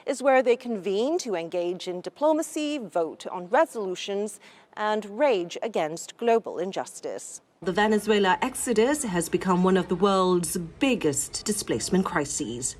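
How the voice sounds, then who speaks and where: A woman news correspondent delivering formal, measured broadcast reports. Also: medium pitch, animated, a little mellow.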